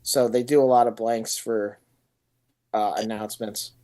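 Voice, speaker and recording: A man co-hosting a casual toy podcast, joking and teasing his friends with loud bursts of banter. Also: lowish voice, fairly even intonation, unhurried. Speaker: podcast co-host. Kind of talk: casual chat.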